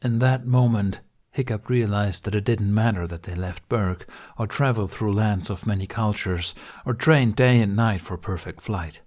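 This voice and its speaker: A male audiobook narrator with a slightly low voice. He reads scripted fiction in a dramatic storytelling style. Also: normal speed, darkish tone.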